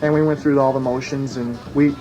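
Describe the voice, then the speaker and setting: Band guy chatting in an old 80s radio interview, medium pitch voice, music in the back. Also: steady tone, brisk, highs cut off. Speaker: band member being interviewed. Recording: archival 1980s radio, music behind.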